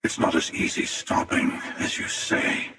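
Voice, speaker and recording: A man voicing a jaded, menacing, world-weary older space hunter for a video game, with a gravelly, sardonic and deliberate delivery. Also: bright, forceful tone. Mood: measured, sardonic. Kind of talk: video game character voice lines. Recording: cavernous echo and long reverb, distant.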